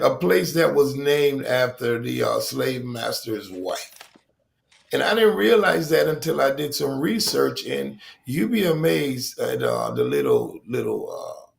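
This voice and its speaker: Deep gravelly older man, halting. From Rochester, New York, USA. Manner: reflective, halting, many ums. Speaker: guest. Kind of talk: personal recovery story.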